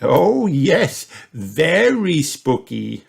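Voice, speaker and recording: A man voicing a jolly, playful old grandfather pig with a hearty, drawn-out delivery in a children's storybook. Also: fairly high pitch, very animated, gravelly, slightly rough, voice pushed up, exaggerated voice.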